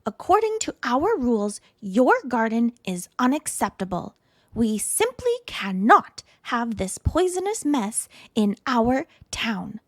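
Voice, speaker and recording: A pompous colonel acted out by a woman lays down the rules in a children's storybook. He is stern and officious, booming his disapproval. The pitch is fairly high for the role and the sound is dry. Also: sing-song, slow pace, dry recording, exaggerated voice. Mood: stern, indignant. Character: pompous, stern old-fashioned male club president, a colonel.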